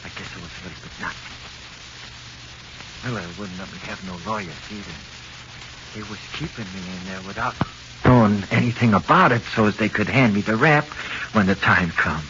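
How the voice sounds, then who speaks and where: Haunted crook guy acting, low voice, rough slangy talk, nervy, crackly old radio drama. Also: brisk, fairly bright tone, natural voice. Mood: haunted recounting. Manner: tough-guy slang, plain-spoken. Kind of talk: 1940s radio drama acting, first-person narration. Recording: muffled, noisy 1940s radio recording.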